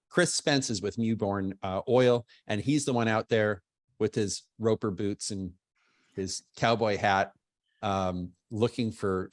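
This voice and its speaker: A lawyer hosting a webinar, introducing guests in a chatty, self-deprecating way over a video call. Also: male voice, on the low side, no room sound, clean studio sound.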